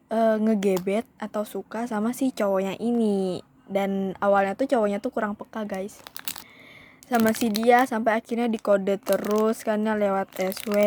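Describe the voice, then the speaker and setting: A woman's voice: medium pitch, darkish tone, slight background noise. A young woman vlogger telling a storytime in a casual, chatty way, often calling her viewers "guys" and slipping into English phrases.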